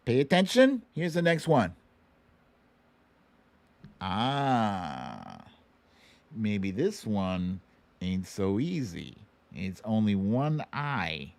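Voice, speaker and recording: A man's voice: on the low side, big pitch swings, extremely slow, gravelly, long pauses, darkish tone, dry recording. A man hosting a casual livestream quiz, chatty and playful as he banters and calls out to viewers. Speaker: host of a live online show.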